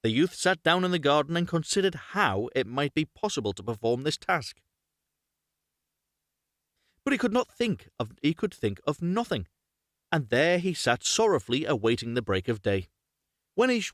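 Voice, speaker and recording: A man's voice: fairly high pitch, big pitch swings, normal speed, no echo, clean studio sound. A man hosting a live, unrehearsed story reading, narrating expressively, correcting himself and adding chatty asides. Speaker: host reading stories aloud on a livestream.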